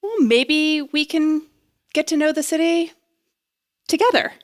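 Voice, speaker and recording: Flustered, high-pitched eager young woman. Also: a little slow. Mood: rambling, nervous. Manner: flustered, rambling, hesitant. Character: nervous, eager young woman bluffing through job interview. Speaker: actor in an audio drama. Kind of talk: naturalistic audio drama acting.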